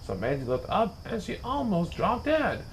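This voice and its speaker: Lively man reading a rhyming storybook. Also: high voice, moderate pace, slight background noise. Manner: theatrical, acts out characters. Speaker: storyteller reading a children's book aloud. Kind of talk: animated rhyming storybook narration.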